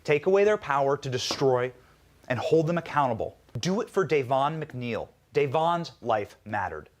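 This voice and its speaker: A man's voice: mid-range voice, expressive, normal speed. An American man delivering a scripted political monologue as a commentator, in an emphatic, rhetorical way.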